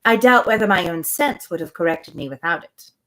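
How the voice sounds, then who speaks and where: A woman reading the lines of a lively, playful young heroine in her own voice. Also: lowish voice, fairly quick, poor audio.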